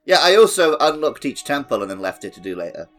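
A man's voice: medium pitch, sing-song, fast talker, faint music behind. A man hosting a podcast, chatting casually about a video game. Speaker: podcast host.

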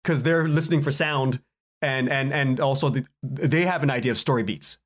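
A man speaking as a guest in a casual podcast interview, telling anecdotes in an animated way and acting out bits of dialogue. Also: mid-range voice, moderate pace. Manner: animated storytelling, acts out quoted exchanges, chuckles often. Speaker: guest, audio drama writer and director.

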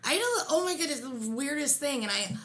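Bright-voiced young woman telling stories. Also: very animated, brisk. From Pennsylvania, USA. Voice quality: low voice. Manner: chatty storyteller, laughs often. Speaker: podcast co-host. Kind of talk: casual chat.

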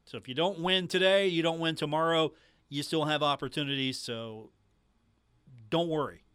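A man's voice: on the high side, moderate pace, a bit bright, smooth, dry recording. A man hosting sports radio, talking casually and emphatically to listeners on a close studio mic. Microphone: close studio microphone.

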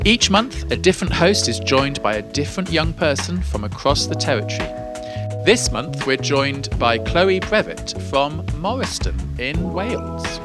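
A British man hosting a podcast, chatting in a friendly, encouraging way and asking lots of tag questions. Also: on the high side. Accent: British English. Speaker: podcast host. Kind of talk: friendly interview chat. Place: indoor room at a youth music week.

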